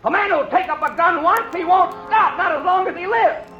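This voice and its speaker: A man's voice: very high-pitched, normal speed. An American man playing a hot-tempered, stubborn, aggrieved frontier brother in a 1950s radio western, with agitated rustic speech. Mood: outraged, alarmed. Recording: muffled, faintly noisy old radio broadcast.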